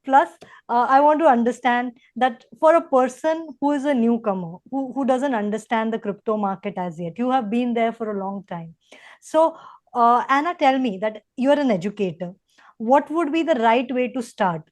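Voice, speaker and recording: A woman's voice: a little high, moderate pace, darkish tone. A woman with an Indian accent, hosting an interview and putting questions to guests in a conversational way.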